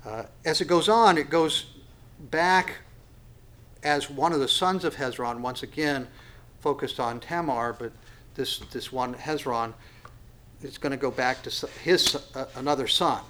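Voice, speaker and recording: A man's voice: mid-range voice, measured pace, a bit bright. A man in his sixties teaching a Bible study in a folksy, self-deprecating way, with many fillers and restarts. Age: 60s. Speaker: pastor.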